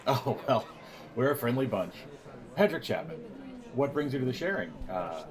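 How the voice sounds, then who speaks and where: A man playing a middle-aged, over-friendly vice principal who pitches his organization, in a naturalistic audio drama. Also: big pitch swings, normal speed, close to an everyday voice. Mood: genial. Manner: genial, persuasive. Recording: busy crowd chatter behind.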